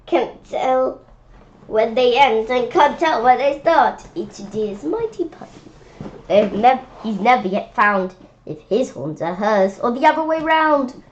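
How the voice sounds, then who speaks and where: A woman's voice: fairly high pitch, animated, slow, highs cut off. A woman reading a rhyming picture book aloud informally, often stumbling over words and repeating phrases. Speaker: children's book read-aloud reader.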